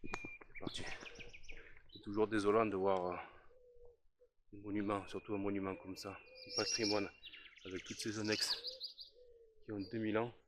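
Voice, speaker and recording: A man with a slight cold and a nasal voice, exploring an abandoned château as a paranormal investigator. He is nervous, hesitant and full of fillers, and often drops to a soft voice as he calls out to spirits. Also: on the low side, wildly swooping pitch, normal speed, pauses often, background noise. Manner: hesitant, many fillers, often speaks softly; nervous, says he is trembling. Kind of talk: walk-through vlog narration and calling out to spirits.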